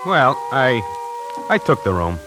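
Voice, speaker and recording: A man's voice: medium pitch, very animated, slow pace, loud music behind the voice, rough recording. An actor playing a streetwise, nervous young tie salesman in a 1940s radio play, tough-talking and slangy, on an old radio recording. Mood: wary. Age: young adult. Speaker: lead actor narrating.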